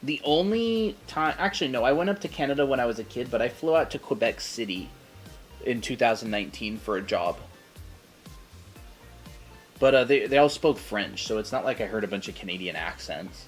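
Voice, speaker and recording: A man's voice: mid-range voice, expressive, a little fast, soft background music. An American man chatting casually on a livestream, rambling through stories and reading viewer comments aloud. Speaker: livestream co-host reading chat.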